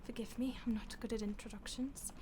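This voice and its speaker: A woman voicing a polite, predatory female vampire who toys with her captive in soft, often whispered, mock-polite speech. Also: on the high side, fairly even intonation, a little fast, noisy background, natural voice. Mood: menacing relish. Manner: teasing, mock-polite, savoring. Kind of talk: audio roleplay.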